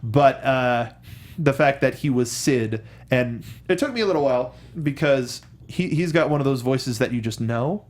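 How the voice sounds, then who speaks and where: A man co-hosting a movie show, giving conversational commentary in an animated way and acting out imagined quotes. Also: mid-range voice, moderate pace. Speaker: co-host of a movie discussion show.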